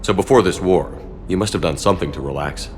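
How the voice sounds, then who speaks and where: An actor playing a steady, authoritative military commander in a video game, speaking in a direct, clipped manner. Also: male voice, deep voice, quick pace, slight background noise, close to an everyday voice. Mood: concerned. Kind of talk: video game dialogue acting.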